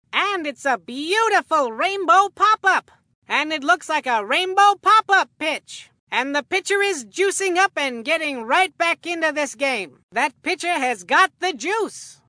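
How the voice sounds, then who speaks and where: A woman voicing an excitable, food-loving boy baseball commentator in a cartoon game, with a folksy, earnest showman delivery. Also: sits high, dry recording, clean studio sound, heavily acted. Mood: excited announcing.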